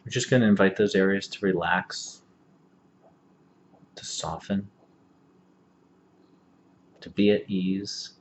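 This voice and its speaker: Low, even-voiced man guiding meditation. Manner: calm, unhurried, gentle phrasing with long pauses. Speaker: meditation instructor. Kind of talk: guided meditation, body scan instruction. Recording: dull lo-fi audio, faint background noise.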